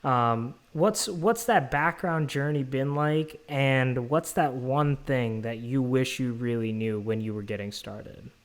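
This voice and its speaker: Smooth-voiced man hosting a podcast. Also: mid-range voice, slow pace, hardly any pauses. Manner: rambling, restarts often. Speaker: podcast host. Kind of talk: interview podcast.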